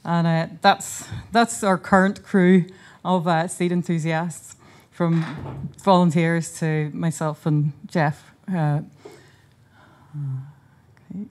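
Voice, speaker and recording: A woman giving an earnest, informal conference talk in a hotel conference room, often pausing and restarting her sentences. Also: lowish voice, little pitch movement, darkish tone. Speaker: conference speaker and seed charity founder. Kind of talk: conference presentation.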